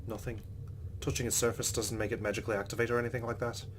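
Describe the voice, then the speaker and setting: A man's voice: fairly low pitch, little pitch movement, racing, slight background noise. A man hosting a let's play, reading visual novel narration aloud with his own reactions, over game ambience.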